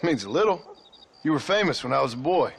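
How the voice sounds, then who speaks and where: An actor playing a dry, laconic American outlaw in a Western video game, speaking in a rough drawl. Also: male voice, a little high, expressive, fast, heavily acted. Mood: guarded. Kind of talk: game cutscene acting, Western drama.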